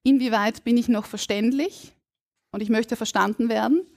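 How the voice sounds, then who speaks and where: Measured Austrian woman explaining linguistics. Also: a little high, fairly even intonation, darkish tone. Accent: Austrian German. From Burgenland, Austria. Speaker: guest linguist. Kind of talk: live podcast conversation. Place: stage before a live audience.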